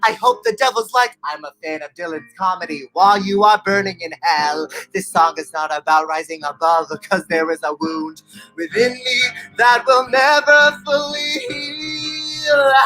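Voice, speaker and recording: Slow, theatrical young man doing musical comedy. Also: very high-pitched, lively intonation, bright, forceful tone, soft background music, poor audio. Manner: theatrical, self-mocking. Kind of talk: comedy songs and stage patter for an online show.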